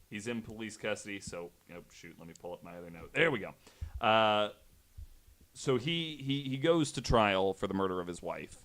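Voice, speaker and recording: A man with a fairly low voice tells a story as a podcast panelist. His delivery is casual and conversational, with hesitant, halting phrasing as he works from notes. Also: a bit bright.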